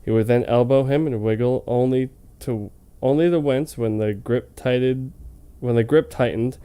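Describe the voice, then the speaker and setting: A man's voice: mid-range voice, moderate pace, dark tone, clear, resonant tone. A man reading fan fiction aloud in an informal, comedic way, tripping over words now and then and prone to joking asides. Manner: breaks into laughter easily. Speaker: reader of fan fiction for a comedy web series.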